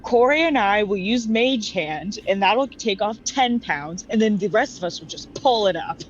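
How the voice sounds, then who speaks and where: A woman's voice: medium pitch, lively intonation, normal speed. A woman chatting casually as a player in a tabletop game. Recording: muffled audio with audible background noise.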